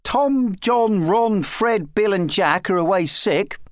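A man's voice: high-pitched, expressive, slow, dry recording, clean studio sound, pitched above the performer's own voice. A man voicing a small, eager, polite and plucky boy squire in a children's storybook. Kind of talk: children's storybook character reading.

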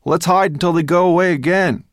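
A man's voice: high voice, fairly quick, dry recording, studio quality, voice pushed up. A man voicing a timid dragon in a storybook, naive and insistent. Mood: complacent, urging. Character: timid dragon urging everyone to hide.

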